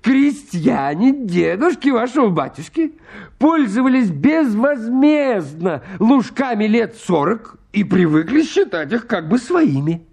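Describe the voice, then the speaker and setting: A very high, husky male voice for a stubborn landowner suitor in a radio comedy. He argues with fussy, pleading politeness, his pitch leaping about excitably. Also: a little slow, exaggerated voice. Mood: indignant, insistent. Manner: fussily polite, pleading, argumentative. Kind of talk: Russian radio comedy acting.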